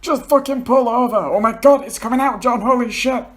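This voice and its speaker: A man voicing a distressed, tearful young man in a story reading, stammering and pleading, quick to swear and sulk. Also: extremely high pitch, voice pushed up. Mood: desperate, tearful. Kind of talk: amateur dramatic reading of a story.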